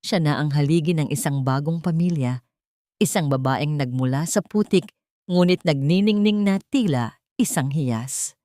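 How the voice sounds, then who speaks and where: A woman narrating a scripted dramatic audiobook in a solemn, sentimental and measured way. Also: deep voice, a little mellow, dry recording, clean studio sound. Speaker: storyteller, narrator.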